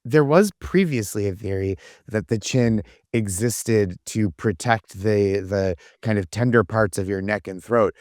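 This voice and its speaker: A man's voice: fairly low pitch, measured pace, hardly any pauses, darkish tone, no room sound, clean studio sound. An American man chatting casually and comically as a panelist on a news comedy podcast. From United States.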